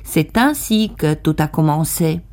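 A woman reading a voice-over translation of an interview in a calm, even way. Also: low voice, a little slow.

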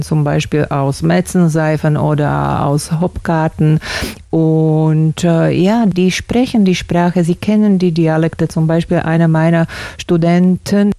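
A university German lecturer from Slovakia, answering interview questions thoughtfully with hesitant fillers. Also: male voice, on the high side, creaky, warm tone, no room sound.

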